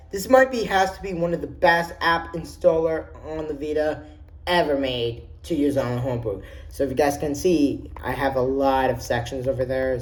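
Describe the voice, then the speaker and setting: A man's voice: medium pitch, darkish tone. A man showing his handheld game collection, talking casually and enthusiastically, often restarting his sentences. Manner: rambling, enthusiastic, frequent restarts. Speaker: gaming YouTuber. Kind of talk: casual menu walkthrough.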